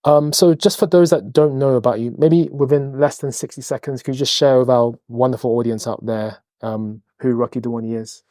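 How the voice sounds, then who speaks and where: A man's voice: mid-range voice, steady tone, brisk, few pauses, mellow, no echo. A man hosting a podcast interview who thanks his guest over and over, talks eagerly and sometimes stumbles over his words. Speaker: podcast host. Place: podcast studio.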